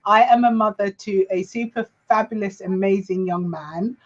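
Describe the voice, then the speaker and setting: A woman's voice: medium pitch, normal speed. Effusive British-accented female co-host, lo-fi call audio. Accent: British English. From Ghana. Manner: warm, effusive, gushing.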